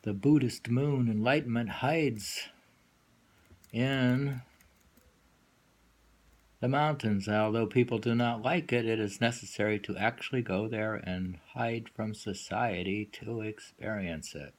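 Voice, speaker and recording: A man giving an informal poetry reading with commentary, musing haltingly and repeating phrases as he explains. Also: fairly low pitch.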